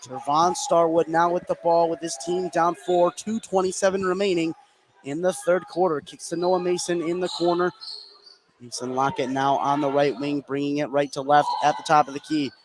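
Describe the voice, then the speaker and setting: A man's voice: fairly high pitch, little pitch movement, normal speed, slight background noise, low-quality recording. A man doing live play-by-play commentary on a basketball game. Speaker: play-by-play sports announcer. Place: indoor high school basketball game.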